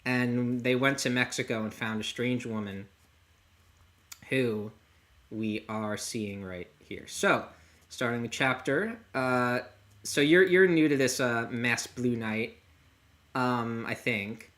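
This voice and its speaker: A man with a bright, forward voice at an average pitch hosts a casual livestream. He rambles through his commentary in a halting way, thinking aloud as he goes. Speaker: livestream host. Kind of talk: casual discussion of a comic chapter.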